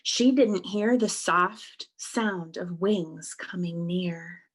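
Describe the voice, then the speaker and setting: A woman reading a picture book aloud to young children as a storyteller, dramatically, with hushed and stretched words. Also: lowish voice, very slow. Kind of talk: animated read-aloud for kindergarteners.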